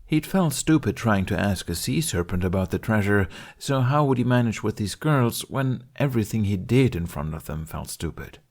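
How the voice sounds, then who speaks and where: A man's voice: lowish voice, expressive, moderate pace, hardly any pauses, a little mellow. A man reading scripted fiction as an audiobook narrator.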